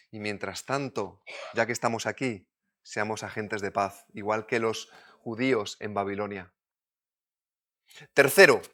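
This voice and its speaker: A man from Madrid with a Madrid Spanish accent, preaching a sermon, moving between loud urgent points and quiet, close asides. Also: medium pitch. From Spain.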